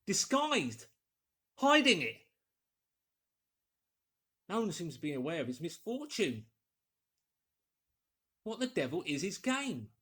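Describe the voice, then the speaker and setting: Man acting an agitated doctor, husky and animated. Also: high voice, slow, pauses often, pitched above the performer's own voice, full character voice. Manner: exclamatory, emphatic, musing aloud in short bursts. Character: astonished, agitated English doctor arguing with himself. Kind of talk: dramatised audiobook character voice.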